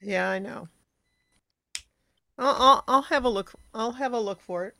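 A woman's voice: fairly high pitch, measured pace, sharp tone, dry recording. Older woman, dry close sound, chatting. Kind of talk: casual chat.

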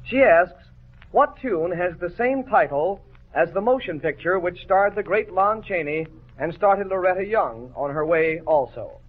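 A man's voice: high voice, animated, unhurried. A man hosting an old-time radio quiz show with jovial, wordy, mock-formal banter. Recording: tinny vintage radio broadcast.

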